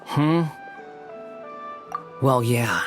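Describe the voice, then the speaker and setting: An actor playing an aloof, sardonic young scholar with a dry, curt delivery in a game cutscene. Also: male voice, medium pitch, lively intonation, drawn-out words, fairly bright tone. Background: game soundtrack music.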